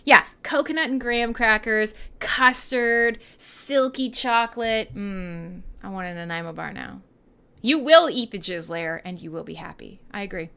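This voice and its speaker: A woman's voice: mid-range voice, normal speed, vocal fry, bright, forceful tone, clean tone. A woman with a Canadian accent hosting a radio show in a casual, irreverent, sweary and playful chatting style. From western Canada. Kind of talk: casual live chat between music tracks.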